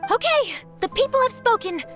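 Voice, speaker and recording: Excitable young female reporter, video game style, very high-pitched, lively intonation, emphatic scoop-hunter energy. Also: normal speed. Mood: determined. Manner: dramatic, excitable, emphatic delivery. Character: eager young female news reporter chasing an exclusive scoop. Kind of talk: animated video game voice acting. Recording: music behind the voice.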